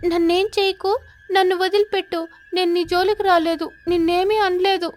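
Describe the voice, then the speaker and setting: Woman putting on a shrill, terrified cartoon stork. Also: steady tone, normal speed. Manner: flustered, pleading, evasive. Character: terrified, pleading stork caught fishing. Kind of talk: animated children's story character voice.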